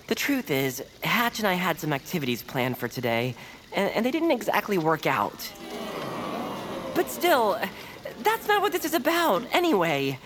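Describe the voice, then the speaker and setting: Earnest, wildly expressive nervous optimist. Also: female voice, on the low side, a little hiss or hum. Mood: earnest, halting. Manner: hesitant, halting, sincere. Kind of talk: audio fiction acting.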